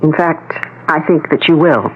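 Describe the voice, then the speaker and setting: An actress playing a composed but jealous middle-aged wife, knowing and foreboding, in a 1970s radio drama. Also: female voice, low-pitched, moderate pace, a little hiss or hum, close to an everyday voice. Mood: grave foreboding. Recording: thin, narrow-band 1970s radio recording.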